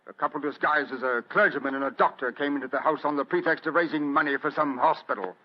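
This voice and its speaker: An actor playing an agitated, self-important wealthy gentleman, urgent and pompous, in old-time radio drama style. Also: a little high, fast, natural voice. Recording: dull vintage radio drama recording.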